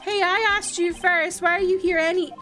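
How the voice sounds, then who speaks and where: A woman's voice: extremely high pitch, steady tone, moderate pace, bright, forceful tone, soft background music, pitched above the performer's own voice. A woman reading a weary young male lawyer. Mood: exasperated.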